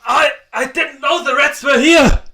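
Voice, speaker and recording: A man's voice: extremely high pitch, measured pace, bright, forceful tone, exaggerated voice. A man voicing a frantic, defensive loner farm cat, pleading and out of breath, in a dramatic fan-made audiobook. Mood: panicked, pleading. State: panting, wheezing.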